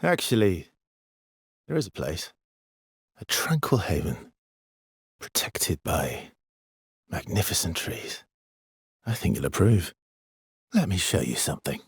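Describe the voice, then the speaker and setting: An actor playing a weary, gentle, guilt-ridden expedition guide in a dramatic video game, with a husky, breathy, hushed and halting delivery. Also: male voice, deep voice, huge pitch swings, dry recording, clean studio sound, exaggerated voice. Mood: calm, explaining. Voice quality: husky, breathy, often near a whisper.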